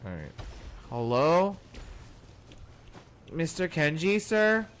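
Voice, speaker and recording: A man's voice: a little high, big pitch swings, slow, a bit bright, slight background noise. A man doing casual, chatty livestream commentary while playing a game, in a playful way. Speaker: game streamer. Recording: game music behind.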